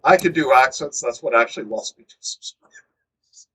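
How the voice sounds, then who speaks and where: A man's voice: mid-range voice, moderate pace, background noise. A man chatting and joking on a casual group stream, slipping into silly voices. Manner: joking, breaks into put-on voices.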